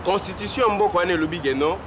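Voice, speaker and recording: A man's voice: sits high, brisk, noisy background. A man with a Congolese accent giving informal political commentary, arguing and switching between two languages.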